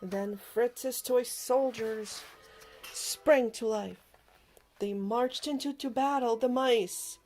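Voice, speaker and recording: Slow, high-voiced woman reading a storybook. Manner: expressive, dramatic storytelling emphasis. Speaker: storybook reader. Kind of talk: children's read-aloud narration. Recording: music behind the voice. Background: music.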